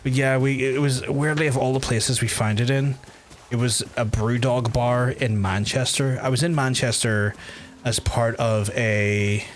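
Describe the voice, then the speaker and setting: A man's voice: mid-range voice, steady tone, a little slow. A young Irish man chatting casually while livestreaming a video game, rambling with fillers and casual swearing. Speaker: game streamer.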